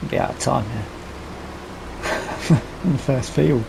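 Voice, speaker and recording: Male British gaming host, very creaky voice, casual thinking-aloud commentary, noticeably noisy recording. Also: medium pitch, takes it slowly. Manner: thinking aloud, asking himself questions. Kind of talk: casual let's play commentary. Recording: clear steady background noise.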